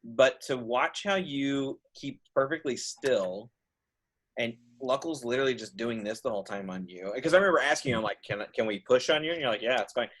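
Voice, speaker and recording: A man's voice: mid-range voice, fairly quick, a bit bright, a little hiss or hum. A man hosting an informal group chat, talking in a casual, rambling way. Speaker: host of a virtual cast panel, puppet show writer.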